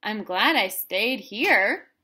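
An agreeable, cautious male shape in a children's storybook, voiced by a woman. He speaks simply and compliantly, in a bright voice. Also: mid-range voice, expressive, slow pace, slightly rough, full character voice.

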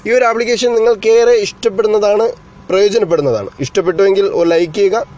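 A man's voice: high voice, fast, darkish tone. A man narrating an explanatory tech tutorial as its host, in a formal, wordy way that addresses viewers directly.

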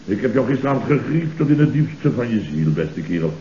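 An actor playing a long-winded, aggrieved office boss in an old comedy radio play, theatrical and pompous. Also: male voice, medium pitch, big pitch swings, brisk, dark tone, slight background noise, natural voice. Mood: pompous, indignant. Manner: theatrical, pompous, repeating his listener's name. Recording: old roomy, muffled radio recording.

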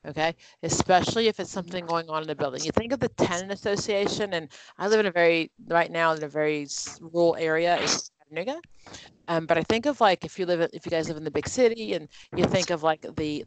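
A woman's voice: on the low side, fairly quick, noisy background. A woman leading an online exam-prep study group, explaining answers with everyday examples in a conversational way over a video call.

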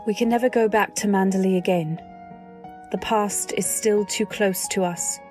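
An actress plays a refined young wife recalling her past in an old-time radio drama. The voice is measured, literary and wistful, medium in pitch, with faint music behind. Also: steady tone, darkish tone, close to an everyday voice.

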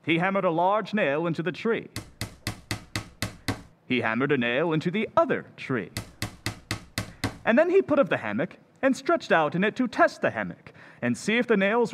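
A man announcing an old-time radio comedy, measured and wry. His voice is high and lively in pitch. A long hall reverb trails behind each line. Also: a little hiss or hum.

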